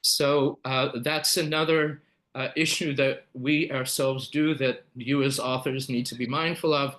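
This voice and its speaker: A man's voice: medium pitch, fairly even intonation, unhurried, a bit bright. Man presenting a webinar, explanatory delivery with fillers and self-corrections. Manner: explanatory, many fillers and restarts. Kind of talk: live webinar talk.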